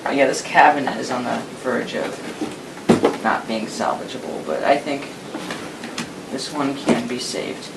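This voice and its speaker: A man's voice: medium pitch, a little slow, vocal fry, long pauses. A man talking casually while he restores an old radio, thinking aloud and making chatty asides as he works. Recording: noisy workshop recording.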